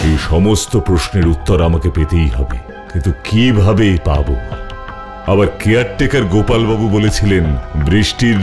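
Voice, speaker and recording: A man voices a low-pitched cartoon superhero sleuth. He is calm, commanding and inquisitive, speaking in a firm, measured manner. Loud music plays behind him. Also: natural voice. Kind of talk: animated cartoon voice acting.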